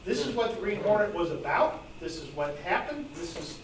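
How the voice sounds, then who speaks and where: A man's voice: high voice, sing-song, brisk. A man offering suggestions in an informal discussion from the audience at a club meeting. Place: club meeting room, far from mic. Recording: very echoey room, distant mic, noisy.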